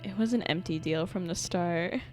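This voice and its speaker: A woman's voice: lowish voice, normal speed, darkish tone, faint music behind. A woman streaming a game, giving casual, sarcastic commentary and laughing often.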